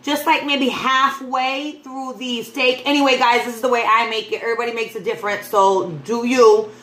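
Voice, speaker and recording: High-pitched woman chatting in an echoey kitchen. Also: fairly even intonation, moderate pace, a bit bright. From the Bronx, New York, USA. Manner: informal, addressing viewers as guys, unscripted. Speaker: home cook vlogger. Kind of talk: casual cooking demonstration, chatty. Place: home kitchen, indoors. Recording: echoey room, clear background noise.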